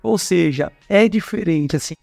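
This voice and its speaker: Chatty Brazilian man, higher voice. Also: moderate pace, vocal fry, a little mellow, no room sound, soft background music. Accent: Brazilian Portuguese. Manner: chatty, talks with his hands, frequent tag questions. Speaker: guest speaker at a career fair. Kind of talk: casual storytelling interview.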